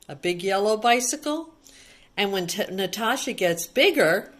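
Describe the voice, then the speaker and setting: A woman's voice: fairly low pitch, fairly bright tone. A woman reading a picture book aloud to young children like a teacher, explaining simply with repeated words and little questions. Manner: simple child-directed talk with repetition and questions. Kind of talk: read-aloud storytime with simple asides to children.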